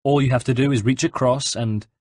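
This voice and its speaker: A man voicing a confident Italian assassin leader in an audiobook, calm, firm, terse and wry. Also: medium pitch, fairly quick, no echo, voice pushed up, close to an everyday voice. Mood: urgent instruction. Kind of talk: dramatised audiobook narration.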